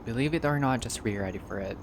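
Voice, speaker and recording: A man's voice: medium pitch, quick pace, noisy background. A man reading a visual novel aloud as a playthrough narrator, in a storyteller-like way with brief casual asides.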